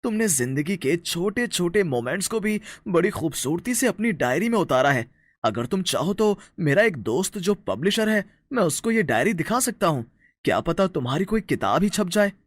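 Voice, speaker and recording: A man's voice: high voice, very animated, moderate pace, no echo. An actor voicing a courteous, encouraging young married businessman in a storybook tale. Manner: polite, encouraging.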